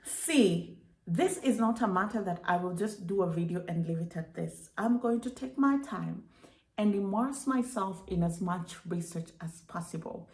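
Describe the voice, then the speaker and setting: A woman delivering political commentary straight to her viewers, emphatic and rhetorical, posing question after question. Her voice is mid-pitched and rings in an echoey room. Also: lively intonation, normal speed, a little mellow. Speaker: political video creator. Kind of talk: commentary to camera.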